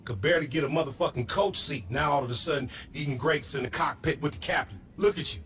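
A man's voice: on the high side, quick pace, fairly bright tone, natural voice. A man playing a brash, aggrieved hustler buddy in a video game, theatrical and boastful, with slangy trash talk. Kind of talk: video game comedy acting.

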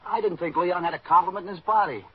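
Fast, husky young car thief. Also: male voice, sits high. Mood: flattered, boastful. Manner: slangy, wisecracking, offhand. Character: cocky, streetwise young male car thief. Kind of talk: 1950s radio drama acting. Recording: muffled old radio recording.

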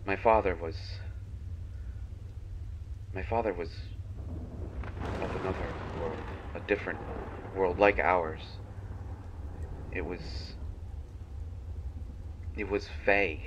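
A man voicing a kindhearted, haunted young banshee in a dramatic monologue, halting between phrases as if confessing. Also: deep voice, fairly even intonation, highs cut off, noisy background. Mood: somber, regretful. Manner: halting, hushed confession with long pauses. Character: kindhearted young male banshee, half-fey, haunted and menacing.

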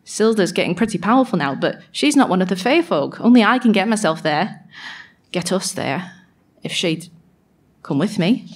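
A sardonic fairy woman, played by an actress in an audio drama. She is brooding and self-mocking, confessing to her diary in a middling-pitched voice with blunt, sweary honesty. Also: lively intonation, normal speed, breathy edge. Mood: resolved, rueful. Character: exiled fairy woman, sardonic, guilt-ridden, grudging diarist. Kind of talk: audio drama diary monologue performed live.

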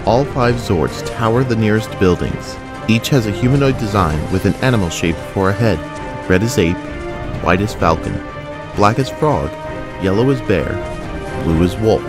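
A man's voice: on the low side, animated, normal speed, over music. A man giving scripted, dramatic narration as the narrator of an audio drama.

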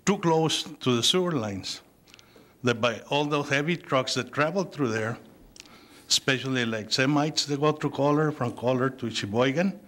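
A middle-aged man with a Spanish accent, speaking haltingly with many fillers at a city council public comment, into a podium microphone in a council chamber. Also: medium pitch, a bit bright. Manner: halting, with frequent fillers and restarts. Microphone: podium microphone on a gooseneck.

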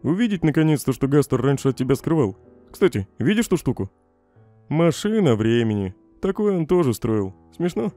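A self-doubting young skeleton guy acted out for a comic dub, mellow in tone but very animated in pitch. He is emotional, moving between mutters and outbursts over music. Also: normal speed, natural voice. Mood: curious, casual. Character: troubled young male skeleton monster, self-doubting, dramatic.

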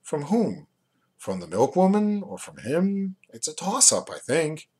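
A man's voice: a little high, wildly swooping pitch, measured pace, a little mellow, slightly rough, voice pushed up, full character voice. A man reading a novel aloud as a guarded, gloomy young intellectual, dry and terse.

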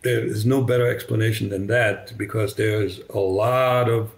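An older man with a slight German accent, German native, telling his story as a podcast guest over a video call, halting with many restarts. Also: on the low side, fairly even intonation, gravelly. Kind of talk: conversational storytelling. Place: bright living room, indoors. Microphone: video call webcam.

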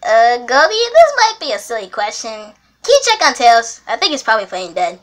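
A woman voicing a childish, grief-stricken buddy in an amateur skit, with a squeaky, whiny voice and melodramatic, drawn-out wailing. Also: huge pitch swings. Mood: worried, coaxing. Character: grief-stricken childish buddy mourning a lost best friend. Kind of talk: amateur improvised character skit. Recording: loud music behind the voice.

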